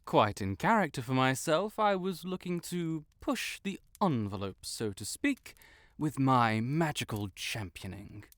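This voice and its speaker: A man performs as a young wizard in a fantasy audio roleplay, stuck-up and pompous, secretly smitten. His delivery is unhurried and theatrical, with pitch that leaps about freely. The sound is dry and close, with no room around it. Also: very animated, fairly bright tone, no room sound. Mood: boastful. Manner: pompous, theatrical, haughty, flustered outbursts. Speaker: voice actor. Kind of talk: scripted roleplay audio drama monologue.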